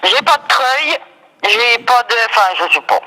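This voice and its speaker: A woman's voice: fairly high pitch, normal speed, pushed and bright. A woman working as a shopkeeper, chatting casually on the telephone in a matter-of-fact, dismissive way. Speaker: fishing shop keeper. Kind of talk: casual phone conversation. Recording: reverberant phone call, radio-like sound.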